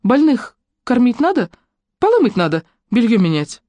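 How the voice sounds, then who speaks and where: A plainspoken young woman with a Ukrainian accent, put on by an audiobook reader, her voice lifted well above the narration. She talks quickly and in a folksy way, shy one moment and bold the next. The sound is dry and close, with no room around it. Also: a little high, very animated, warm tone, no echo, voice pushed up. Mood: earnest.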